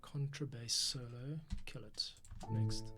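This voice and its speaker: A man's voice: medium pitch, steady tone, takes it slowly. A man hosting a music composition livestream, thinking aloud in short, casual remarks as he works, with music behind him. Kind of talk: casual commentary while working. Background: music, keyboard typing.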